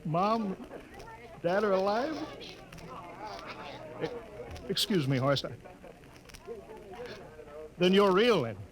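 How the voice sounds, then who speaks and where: Stern middle-aged space captain, fairly high voice, clipped commands, vintage radio drama. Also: male voice, animated, slow pace, long pauses, poor audio. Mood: shaken, moved. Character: stern spaceship captain, suspicious, rattled by emotion. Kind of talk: 1950s radio drama acting.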